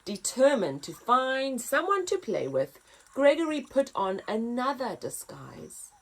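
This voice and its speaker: A woman reading a children's story aloud as a story time host, with expressive, dramatic emphasis. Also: fairly high pitch, measured pace, vocal fry, poor audio.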